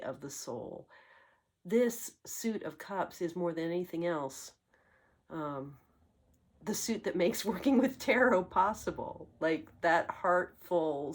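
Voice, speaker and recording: A woman hosting a tarot channel, getting over a cold and slightly congested, speaking in a reflective, musing way with frequent pauses and fillers. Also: deep voice. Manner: musing, searching for words, many fillers. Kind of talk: reflective spoken monologue.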